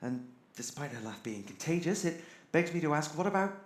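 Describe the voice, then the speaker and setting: A man's voice: fairly high pitch, lively intonation, brisk, reverberant, natural voice. A man playing an irritable, sardonic robot crewman in an audio drama, theatrically exasperated and sarcastic. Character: irritable sardonic male robot crewman keeping a ship's log.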